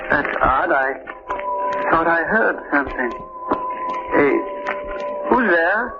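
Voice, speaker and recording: A man's voice: fairly high pitch, measured pace, dull audio. An actor playing a kindly, easily frightened old male doctor in 1930s radio drama style, with a quavering, stammering voice. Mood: puzzled. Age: older. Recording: scratchy old radio recording with music.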